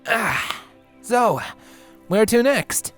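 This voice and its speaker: Man doing a slow, unsure little boy dragon. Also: very high voice, expressive, fairly bright tone, faint music behind, pitched above the performer's own voice, exaggerated voice. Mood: puzzled. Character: small, puzzled, anxious young male dragon.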